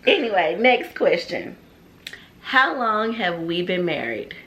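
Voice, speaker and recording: Thirties woman, bright lowish voice, chatty co-host. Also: very animated, slow, slight background noise. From Atlanta, Georgia, US. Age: 30s. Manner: chatty, laughs often, teasing. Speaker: vlog co-host. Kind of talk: casual couple Q&A chat.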